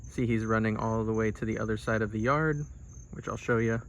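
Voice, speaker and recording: A man's voice: lowish voice, steady tone, a little fast, noisy background. A man, sounding middle-aged, gives a casual product demonstration in a plain-spoken, explanatory way. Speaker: product reviewer.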